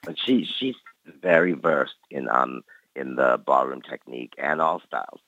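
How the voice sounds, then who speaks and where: A man's voice: a bass voice, normal speed, sharp tone. A man in his forties who grew up in Canada, reflecting easily on his dance career as an interview guest. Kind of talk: casual interview talk. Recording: dry, dull lo-fi recording.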